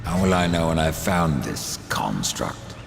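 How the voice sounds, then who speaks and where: Sardonic grim reaper rider for a game, extremely low gravel, dry and terse, cavernous reverb with music. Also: male voice, big pitch swings, a little slow, exaggerated voice. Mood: grim, questioning. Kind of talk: video game character acting. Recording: long reverb, music behind.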